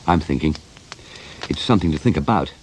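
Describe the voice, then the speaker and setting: An actor playing a troubled middle-aged English writer in a radio play, wistful and rueful. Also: male voice, a bass voice, expressive, a little fast, a little mellow, slight background noise, poor audio. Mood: reluctant. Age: 40s.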